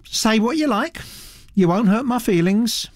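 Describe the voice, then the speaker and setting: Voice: mid-range voice, animated, measured pace, no room sound, clean studio sound, pitched above the performer's own voice, close to an everyday voice. A narrator voicing a young woman artist who drawls her words with placid indifference. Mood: nonchalant. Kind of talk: audiobook character voice.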